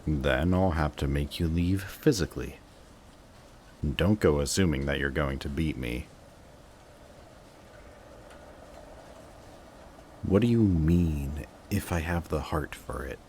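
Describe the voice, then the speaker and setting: A man playing a bitter, guarded villain secretly devoted to his rival, in an intense, sardonic audio roleplay monologue with dramatic pauses. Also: rumbling low, a little mellow. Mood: cold, dismissive. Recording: clearly audible background noise.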